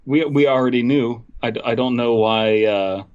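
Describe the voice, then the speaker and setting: A man's voice: medium pitch, normal speed, muffled recording. A man co-hosting a comedy podcast, riffing casually and crudely in rambling bursts with acted-out quotes.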